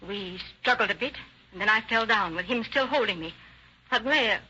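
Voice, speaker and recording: A woman's voice: a little high, fairly quick, bright, forceful tone. An actress playing an evasive woman on trial for murder, testifying defensively in an old radio drama. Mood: nervous, defensive. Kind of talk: 1940s radio acting. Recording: muffled, hissy old radio recording.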